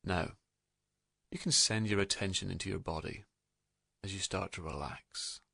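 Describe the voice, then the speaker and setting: Deep gravelly man guiding a meditation. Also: dry recording, clean studio sound. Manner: calm, gentle, soothing, unhurried pauses. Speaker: guided meditation narrator. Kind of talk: slow guided meditation, hypnotic narration.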